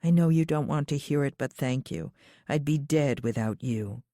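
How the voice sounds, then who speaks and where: A woman's voice: deep voice, moderate pace, dark tone, no room sound. A wry, guarded woman from a thriller novel, acted out by a female narrator. She talks bluntly with dry humor. The recording is dry and close. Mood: wry, affectionate.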